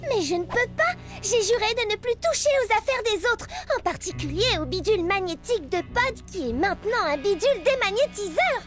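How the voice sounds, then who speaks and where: Very high, bouncy cartoon girl microbe, naive, sweet and gushing, music underneath. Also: lively intonation, brisk, hardly any pauses, a bit bright. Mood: naive, earnest. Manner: gushing, childlike, earnest. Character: sweet naive young female paramecium, earnest and bubbly. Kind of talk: French animated cartoon dub.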